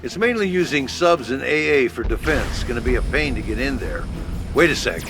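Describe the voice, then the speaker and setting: Game HQ officer male actor: mid-pitched, gruff, terse, businesslike briefings. Music underneath. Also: expressive, moderate pace, lightly acted. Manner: terse, informal military talk. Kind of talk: video game mission briefing. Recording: music behind the voice.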